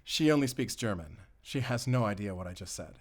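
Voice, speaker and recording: Low-voiced, wry monster hunter. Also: male voice, expressive, a little fast. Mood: wry, teasing. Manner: soft-spoken teasing, switching between English and German. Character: mysterious German-speaking male werewolf hunter, wry and protective. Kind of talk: cinematic audio roleplay, intimate bedtime storytelling.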